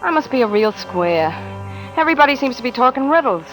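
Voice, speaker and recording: A woman's voice: high voice, very animated, a little fast, fairly bright tone, poor audio. An actress playing a frightened but tough-talking young woman in a vintage radio mystery, slangy, sardonic and emotive. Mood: uneasy, wry. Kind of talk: 1940s radio acting, first-person narration and dialogue. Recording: vintage radio drama with music behind.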